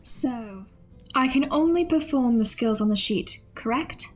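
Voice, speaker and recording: Prim female adventurer, high voice, formal and composed, radio drama. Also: animated, unhurried, faint music behind. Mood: cool, scheming. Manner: formal, precise diction. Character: prim, composed, quietly ruthless adventurer woman.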